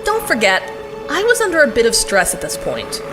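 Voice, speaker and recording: A woman's voice: a little high, wildly swooping pitch, brisk, over loud music. A woman playing a fiery, sarcastic young girl who acts and narrates her adventure in an audio drama, blunt and wry. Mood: wry narrating. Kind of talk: audio drama acting and first-person narration.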